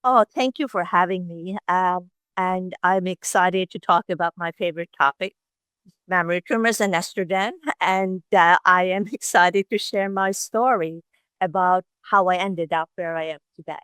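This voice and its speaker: Older Norwegian-accented woman, podcast guest; reflective storyteller with a lowish pitch and a close, dry sound. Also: smooth, no room sound. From Norway. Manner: reflective, unhurried storytelling with soft fillers. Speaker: podcast guest, veterinary oncologist. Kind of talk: casual interview storytelling.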